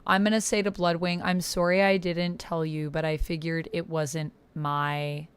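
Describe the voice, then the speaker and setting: Lower-pitched woman reading out roleplay. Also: a little slow, clear, resonant tone. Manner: expressive, gasping and exclaiming reactions between read passages. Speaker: solo tabletop roleplay player and host. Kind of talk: reading out and reacting to a text roleplay, casual.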